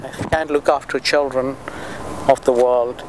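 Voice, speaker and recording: A middle-aged man from Tanzania speaks as an interviewee, reflecting on his life and work. His delivery is halting and deliberate, with an earnest tone and a medium-pitched voice. Steady urban noise is clearly audible behind him. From Tanzania, East Africa. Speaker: interviewee, pediatrician and medical association president. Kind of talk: interview answers, reflective personal account.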